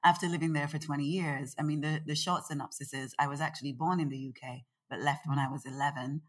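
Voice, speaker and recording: Low-voiced chatty woman guest. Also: fast. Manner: expressive and chatty. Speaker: guest, organization founder. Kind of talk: casual conversational interview. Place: in Nevis.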